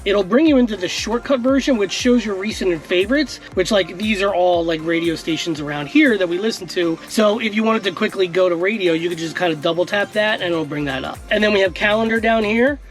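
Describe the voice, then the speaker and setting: A man's voice: sits high, a little fast, few pauses, soft background music, rough recording. A man in his forties, a tech vlogger, casually walking through a car's screen from inside a parked car, with lots of fillers. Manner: chatty, relaxed, filler-heavy. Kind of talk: casual demo walkthrough. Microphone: action camera in car.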